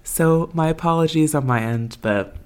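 A woman's voice: low-pitched, normal speed, darkish tone, clean tone. A woman co-hosting a podcast from an empty apartment, chatting casually with frequent giggles and fillers. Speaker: podcast co-host. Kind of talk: casual chat.

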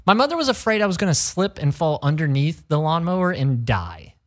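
A man's voice: on the high side, very animated, fairly quick. A man hosting a podcast, telling stories in casual chat with a light, youthful-sounding voice and frequent laughs. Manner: jokey, laughs often, storytelling. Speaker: podcast host.

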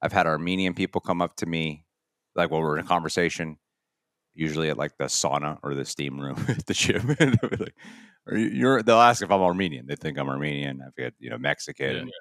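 A man's voice: a bass voice, animated, brisk, fairly bright tone, no echo, clean studio sound. A man co-hosting a podcast, talking in a loose, rambling way with frequent laughs and restarts. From United States. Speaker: podcast co-host. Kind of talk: casual chat.

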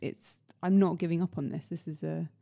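Woman in her thirties, mellow voice. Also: sits low, fairly even intonation, brisk, gravelly. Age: 30s. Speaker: podcast co-host. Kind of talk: casual chat.

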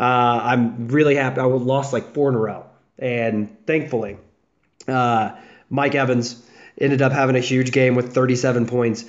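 A young American man hosting a casual solo fantasy football podcast, chatting loosely with fillers and restarts. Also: mid-range voice. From United States, south of the Chicago area. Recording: dull lo-fi podcast audio.

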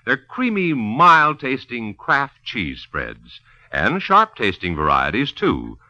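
A man's voice: mid-range voice, huge pitch swings, takes it slowly, pushed and bright, no echo. A man announcing and narrating in polished 1950s radio style, as salesman and storyteller. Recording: muffled 1950s radio recording.

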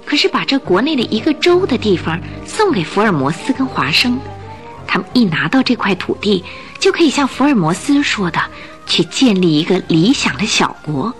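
A woman's voice: mid-range voice, very animated, normal speed, faint music behind. A woman narrating a radio drama in a scripted storytelling style.

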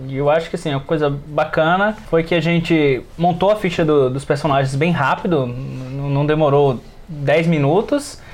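A man's voice: fairly high pitch, normal speed, a little mellow. A man with a Brazilian accent chatting casually as a player on a tabletop gaming podcast. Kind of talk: casual chat about tabletop games.

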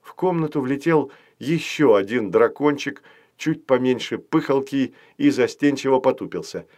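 A man's voice: medium pitch, animated, moderate pace, darkish tone. A man reading scripted narration as the storyteller of a children's fairy tale.

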